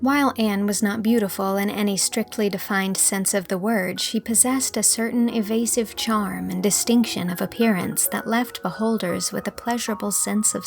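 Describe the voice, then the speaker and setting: Lady reading an old novel aloud, mid pitch, bit of gravel in it, soft music under. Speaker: audiobook narrator. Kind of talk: scripted literary narration.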